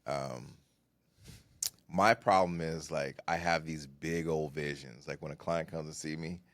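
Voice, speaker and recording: A man with a deep voice co-hosting a casual podcast, talking in relaxed slang and getting animated. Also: a little slow, dry recording. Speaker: podcast co-host, content creator.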